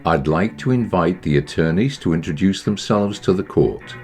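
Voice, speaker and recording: A man playing a formal courtroom judge who runs the proceedings in a measured, procedural way. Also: sits low, expressive, a little mellow. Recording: music playing underneath.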